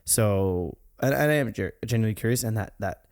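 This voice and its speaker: Calm, thoughtful young male host. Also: fairly low pitch, a little fast, creaky. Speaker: podcast host. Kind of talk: casual podcast chat.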